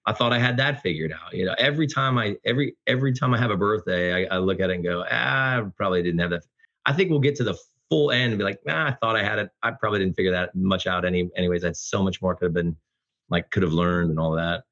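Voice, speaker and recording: A man's voice: low voice, quick pace, fairly bright tone. A middle-aged man talking casually and earnestly as an effusive podcast guest. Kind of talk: casual heartfelt conversation. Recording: dull lo-fi audio.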